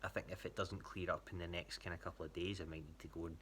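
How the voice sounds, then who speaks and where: A man's voice: deep voice, flat delivery, fast, fairly bright tone, slight background noise. A Scottish man giving a casual spoken check-in, talking in a hesitant, rambling way. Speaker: athlete giving a training check-in to a coach.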